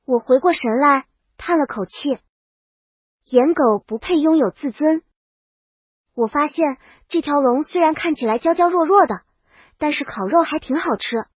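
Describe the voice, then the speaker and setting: Woman, story narrator, high pitch, scripted audiobook reading, close dry sound. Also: normal speed, dry recording, highs cut off.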